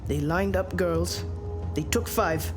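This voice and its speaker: An actress playing a motherly, watchful older island matriarch who speaks in a measured, gently commanding way in an audio drama. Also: lowish voice. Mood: grave. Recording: music under the dialogue.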